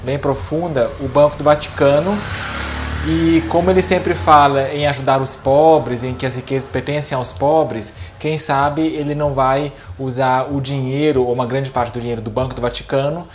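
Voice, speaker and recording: A man's voice: mid-range voice, steady tone, moderate pace, slight background noise. Brazilian male lecturer, casual chatty delivery, mid-range voice, frequent tag questions. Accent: Brazilian Portuguese. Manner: conversational, many fillers and tag questions.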